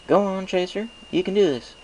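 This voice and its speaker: A man's voice: high-pitched, fairly quick, darkish tone, a little hiss or hum, voice pushed up, natural voice, rough recording. A man voicing a caring, plainspoken female farm pony in a storybook reading, folksy, firm and encouraging. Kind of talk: acted audiobook character dialogue.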